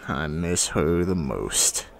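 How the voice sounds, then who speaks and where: A man's voice: very deep voice, lively intonation, takes it slowly, creaky, full character voice. An actor playing a scruffy, foul-mouthed space adventurer, rambling and sardonic as he narrates a sci-fi audio drama. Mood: wistful, rambling.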